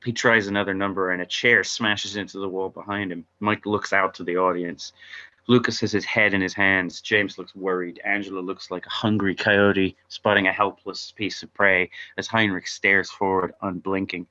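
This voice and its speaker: A man narrates stage directions at a table read. His voice is fairly low but bright and forceful. The recording is somewhat lo-fi. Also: little pitch movement, moderate pace.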